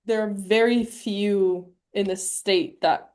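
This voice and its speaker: A woman's voice: medium pitch, fairly even intonation, takes it slowly, a little mellow. A woman co-hosting a casual podcast, teasing her friend and trailing off while she thinks. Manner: playful teasing, hesitant when thinking. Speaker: podcast co-host. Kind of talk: casual chat.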